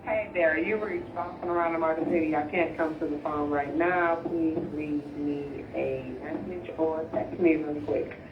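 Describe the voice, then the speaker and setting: A woman with a low voice gives a brisk, friendly greeting, heard over a dull, muffled phone line. The sound comes from a speaker set back in an echoey room. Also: recorded from a distance, noisy background. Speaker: parent called on the phone.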